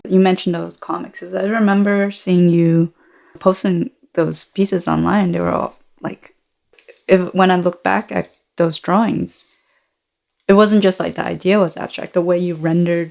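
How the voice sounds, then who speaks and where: A woman's voice: lowish voice, steady tone, measured pace, vocal fry, darkish tone. A woman hosting a podcast, asking thoughtful questions and rephrasing them as she goes. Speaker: podcast host. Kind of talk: interview questions.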